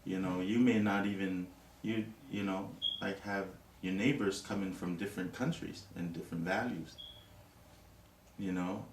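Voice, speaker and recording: A man's voice: sits low, steady tone, normal speed, roomy sound, recorded from a distance, slight background noise, low-quality recording. A man from Tonga, an interviewee, talking reflectively in a casual way, with many "you know" fillers.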